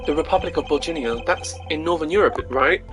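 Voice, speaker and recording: A woman's voice: low voice, a little fast, pitched below the performer's own voice, lightly acted, low-quality recording. A woman voicing an earnest, wry young male defence attorney in an amateur video game voice-over, dry and a little hesitant. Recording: game music behind the voice.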